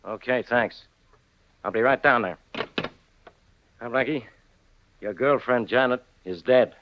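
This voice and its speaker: An American actor playing a gruff, blustering, exasperated police inspector in a 1940s radio drama. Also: male voice, mid-range voice, measured pace, a little hiss or hum, lightly acted. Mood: blunt, curious. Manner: gruff, bossy, blunt. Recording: dull, dry 1940s radio recording.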